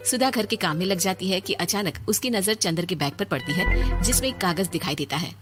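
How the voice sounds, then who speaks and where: A woman's voice: fairly low pitch, a little fast. A woman narrator reading a scripted moral story. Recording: music under the voice.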